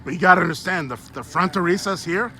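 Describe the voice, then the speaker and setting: High-voiced man hosting a street interview. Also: fast, pushed and bright, slight background noise. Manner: persuasive, lecturing, laughs often. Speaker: YouTube channel host interviewing people. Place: outdoors on a city street at night.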